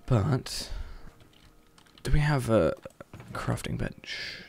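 Gravelly man musing as he builds. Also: mid-range voice, expressive, drawn-out words. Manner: musing, hesitant, planning out loud. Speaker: streamer. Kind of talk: thinking aloud while building in a game.

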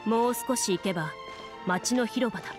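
A woman's voice: on the high side, moderate pace, music in the background, lightly acted. A woman playing a game master who narrates a tabletop adventure in a scripted storytelling manner. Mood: calm, storytelling.

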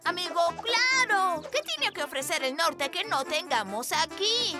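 An actor voicing an excitable, boastful young bear inventor in a children's cartoon, with a theatrical, enthusiastic delivery. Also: very high-pitched, unhurried, exaggerated voice. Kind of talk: animated children's cartoon dub. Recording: music behind the voice.

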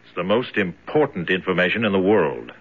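An actor playing a cold captor in charge in a radio drama, firm and patronizing. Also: male voice, deep voice, sing-song, brisk, breathy edge, heavily acted. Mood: cold, insistent. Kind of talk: 1970s radio drama acting. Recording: dull vintage radio recording.

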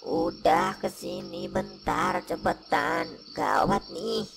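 A man voicing a grumbling male shroud ghost in a comic cartoon, gravelly and moaning in pain with slangy speech. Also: on the low side, wildly swooping pitch, slow, music in the background, heavily acted. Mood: pained, urgent. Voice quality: gravelly, creaky. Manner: groaning, complaining, slangy Jakarta-style speech. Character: grumbling pocong shroud ghost, adult male, suffering a migraine.

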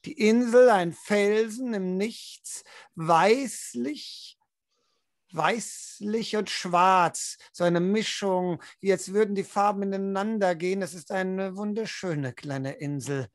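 A man narrating as game master, slowly painting scenes with dramatic, lingering words. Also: sits high, dry recording, clean studio sound. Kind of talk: slow atmospheric storytelling. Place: indoor room at home, video call.

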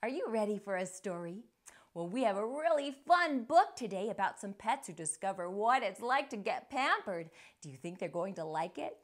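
A middle-aged woman reading aloud to young children as a storytime host, with expressive, deliberate delivery. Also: medium pitch. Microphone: lapel mic.

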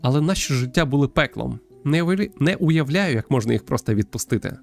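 A man's voice: mid-range voice, expressive, fairly quick, gravelly, soft background music. A man voicing a gloomy, distrustful young dead girl from a visual novel, in a guarded, bitter manner.

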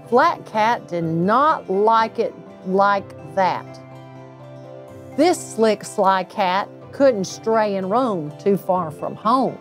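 A middle-aged woman reading a children's bedtime story aloud, with deliberate, drawn-out emphasis on phrases. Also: medium pitch. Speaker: storybook reader, local insurance agent.

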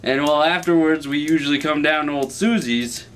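A man's voice: fairly high pitch, normal speed, a bit bright, lightly acted. A man acting a folksy, hard-drinking good old boy who talks in a hesitant, roundabout way in a comedy sketch. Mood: folksy, coy. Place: small indoor room, camera mic. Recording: distant camera mic, background room noise.

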